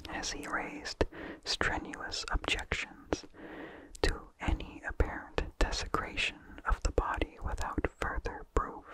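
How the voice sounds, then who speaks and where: A person reading a vintage horror story aloud for ASMR in a hushed, breathy whisper with slight mouth sounds, slow and deliberate. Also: rough recording.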